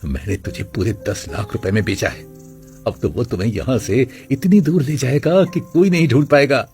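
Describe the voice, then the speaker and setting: A man's voice: mid-range voice, moderate pace, a little mellow, soft background music, lightly acted. A man playing a deceitful, gloating rich young husband, the villain of a story.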